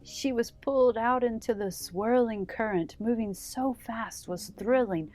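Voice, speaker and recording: A woman hosting a children's story time, reading a storybook aloud with gentle, deliberate phrasing. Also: a little high. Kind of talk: read-aloud storybook narration.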